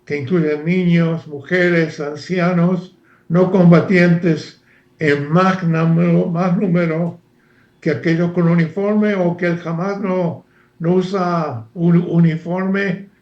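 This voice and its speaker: An older man from Argentina, a former professor speaking as a guest analyst in a halting, lecture-like way with many fillers and restarts. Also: high voice, steady tone, echoey room, highs cut off. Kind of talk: lecture-like interview talk.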